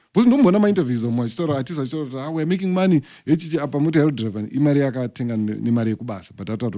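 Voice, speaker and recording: A middle-aged Zimbabwean man, a podcast guest, speaking emphatically about the music industry into a studio boom mic. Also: warm tone. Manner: emphatic, lecturing, talks with his hands. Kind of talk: podcast interview, mixing English and Shona. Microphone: studio mic on boom arm.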